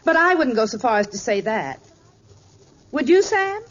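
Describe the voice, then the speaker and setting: A gentle, fretful middle-aged housewife in a vintage radio comedy. She fusses and chats in a high, swooping voice. The recording is muffled and noisy. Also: big pitch swings, normal speed. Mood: chatty. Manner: gentle, fussing, chatty. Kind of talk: old-time radio sitcom acting.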